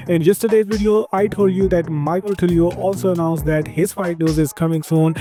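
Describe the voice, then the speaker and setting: A man with an Indian accent hosting a scripted combat-sports news roundup, upbeat and quick. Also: fairly high pitch, a little mellow. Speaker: host of an MMA news channel.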